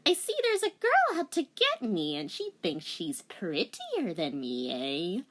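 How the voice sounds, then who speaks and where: A woman's voice: on the low side, wildly swooping pitch, unhurried, a bit bright, full character voice. A woman voicing a nasty, haughty old witch in a dramatic fan-fiction reading, with a slimy delivery. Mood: nasty, scornful.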